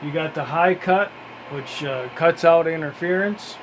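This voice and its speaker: A man with a slightly high-set voice gives a casual hands-on product demonstration. He speaks very slowly and haltingly, in a matter-of-fact, unscripted way. Steady noise sits clearly behind his voice. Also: rough recording. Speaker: radio hobbyist demonstrating gear.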